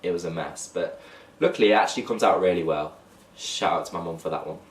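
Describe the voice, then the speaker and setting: A young man talks casually to camera, his blocked nose giving his low voice a nasal edge. He sits back from the camera mic in an echoey room. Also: quick pace, far from the mic. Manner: chatty, talks to camera while holding up clothes. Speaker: fashion vlogger. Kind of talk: casual haul vlog.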